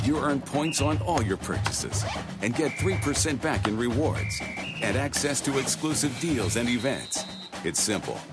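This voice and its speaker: A man reading a scripted retail commercial as a voice-over announcer. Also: mid-range voice. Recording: loud music bed underneath. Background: loud music.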